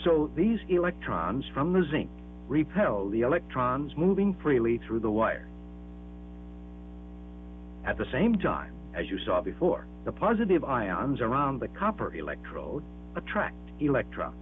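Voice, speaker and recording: A man reads a scripted educational film narration in a measured, emphatic, explanatory manner, on an old 1960s film soundtrack. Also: medium pitch, sing-song, soft background music. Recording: dull band-limited 1960s 16mm film soundtrack.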